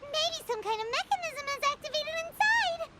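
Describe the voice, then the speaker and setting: A woman voicing a tiny, excitable, childlike fairy companion in a video game, squeaky and full of curiosity. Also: normal speed, very noisy. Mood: puzzled, worried. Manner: wide-eyed, questioning. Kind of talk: English video game voice acting. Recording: loud music over the voice.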